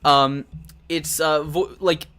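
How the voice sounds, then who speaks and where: A young man hosts a live stream in a loose, enthusiastic way and swears freely. His voice sits a bit high for a man. He trails off and restarts often as he chats. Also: fairly even intonation, slow, a bit bright, clear, resonant tone. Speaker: host of a tabletop game talk show. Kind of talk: casual live-stream chat.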